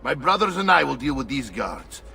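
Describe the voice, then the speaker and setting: A man playing a shrewd, gruff Italian uncle who commands mercenaries in a game cutscene, with an Italian accent. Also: medium pitch, very animated, moderate pace, sharp tone, slight background noise, heavily acted. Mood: urgent. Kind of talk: video game cutscene acting. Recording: slightly distant, faint music behind.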